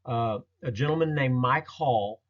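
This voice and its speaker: A man's voice: medium pitch, unhurried. Man telling stories in dull lo-fi audio. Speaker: presenter recounting a field experience. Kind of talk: casual narrated slideshow storytelling.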